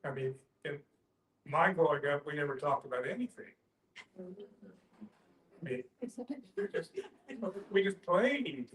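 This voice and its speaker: An older man in an informal group discussion, halting and circling back as he searches for words. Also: on the high side, expressive, fairly bright tone, slight background noise. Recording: echoey room.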